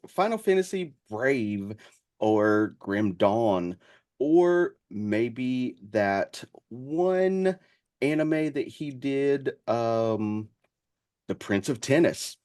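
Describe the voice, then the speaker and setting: A man hosting a podcast casually, introducing and chatting in a somewhat halting way. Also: mid-range voice, a little mellow. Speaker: podcast host. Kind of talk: casual show introduction and chat.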